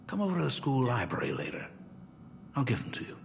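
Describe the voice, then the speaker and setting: An actor playing a weary, wry middle-aged father in an anime game, with a gravelly voice full of regret. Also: medium pitch, huge pitch swings, fast, slight background noise, full character voice. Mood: businesslike. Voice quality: gravelly, creaky. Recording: music behind the voice.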